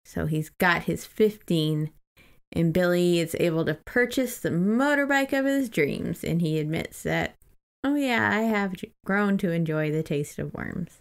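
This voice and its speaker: A woman's voice: lowish voice, lively intonation, measured pace. A woman co-hosting a podcast, chatting casually about books in an irreverent, freely swearing way. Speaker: podcast co-host. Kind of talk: casual book-discussion chat.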